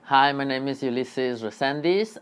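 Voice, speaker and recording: A man's voice: mid-range voice, moderate pace, over music. A man from Mexico, an artist, introducing himself plainly in a documentary interview.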